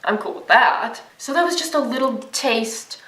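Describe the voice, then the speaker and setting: A woman's voice: on the high side, animated, normal speed, fairly bright tone, reverberant, distant mic, rough recording. A young woman narrating a video essay in a casual, sarcastic and profane way.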